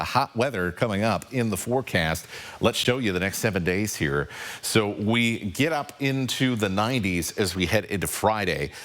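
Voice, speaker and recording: Relaxed deep-voiced TV weatherman. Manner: relaxed, conversational, with fillers. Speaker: TV meteorologist. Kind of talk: live weather forecast chat. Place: TV news studio.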